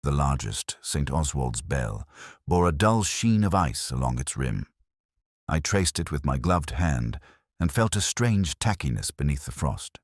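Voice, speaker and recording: A man playing a brooding, philosophical police inspector who narrates his memories solemnly, in measured literary phrases, as in a scripted detective audio drama. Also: a bass voice, very animated, dry recording, studio quality, full character voice. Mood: pensive, grave.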